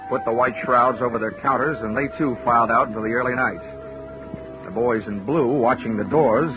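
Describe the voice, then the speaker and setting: An American actor playing a wry, hard-boiled investigator with dry, deadpan wisecracks in 1940s radio drama style. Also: male voice, mid-range voice, close to an everyday voice. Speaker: lead actor and first-person narrator. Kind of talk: 1940s radio detective drama acting and narration. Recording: dull 1940s radio recording with music.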